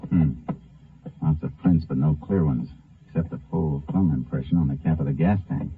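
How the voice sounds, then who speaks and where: An actor playing a tough, terse Texas Ranger who questions and narrates in a 1950s radio drama. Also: male voice, a bass voice, moderate pace, breathy edge, exaggerated voice. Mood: businesslike. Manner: terse, commanding, clipped questioning. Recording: muffled vintage 1950 radio recording, music behind.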